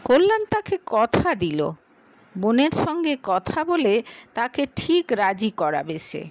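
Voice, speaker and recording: A woman's voice: on the high side, sing-song, takes it slowly, dry recording. A woman narrating a scripted dramatic story.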